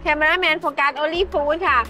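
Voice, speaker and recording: A woman's voice: high-pitched, steady tone, very fast, sharp tone, soft background music. A Thai woman hosting a food vlog in simple, broken English with Thai words mixed in, giggling often, at an outdoor restaurant table at night, recorded by a camera across the table. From Thailand. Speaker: vlog host. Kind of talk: casual food vlog chat.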